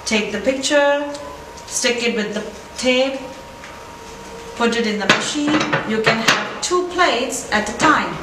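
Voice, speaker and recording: A woman in her thirties giving a deliberate, instructional scripted product demonstration in a showroom, picked up by a distant camera mic. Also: a little high. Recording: echoey, distant camera mic, noisy room.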